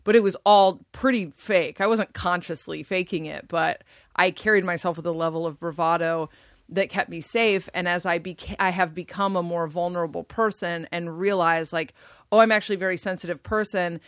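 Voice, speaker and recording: A woman with a deep, low voice talks things through in relaxed conversation. She pauses and restarts as she thinks aloud. The sound is close and dry, with no room around it. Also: no room sound. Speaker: podcast guest. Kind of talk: casual conversation.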